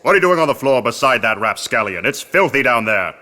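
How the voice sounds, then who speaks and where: A man's voice: mid-range voice, very animated, brisk, bright, forceful tone. A man acting a pompous, lazy, grumbling archaeologist with a fussy manner in a comic audio drama. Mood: indignant. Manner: fussy, self-important.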